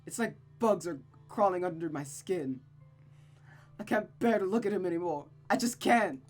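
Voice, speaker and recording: A woman performs a young woman in a visual novel drama, pitched high and coming apart with fear. She stammers, sobs and pleads, sounding close to madness. Music plays behind her. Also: lively intonation, moderate pace. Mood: anguished, confused. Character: distraught, frightened young woman unravelling into madness.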